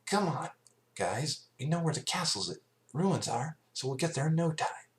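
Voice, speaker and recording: A man's voice: on the high side, lively intonation, normal speed, reverberant, voice pushed up, natural voice. A man voicing a brash, loyal young female pegasus pony who talks casually and directly, in a story reading. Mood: rallying.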